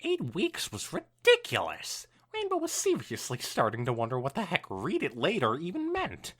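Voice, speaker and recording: Expressive, low-voiced woman reading aloud. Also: moderate pace, breathy edge. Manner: expressive, swooping storytelling delivery. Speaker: podcast co-host reading fan fiction aloud. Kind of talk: animated story reading with casual banter.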